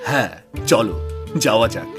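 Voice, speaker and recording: A man's voice: fairly low pitch, animated, normal speed, over loud music, close to an everyday voice. A man playing a respectful, earnest young warrior in a cartoon fairy tale. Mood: relieved, earnest. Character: respectful young warrior, army chief's son freed from a curse.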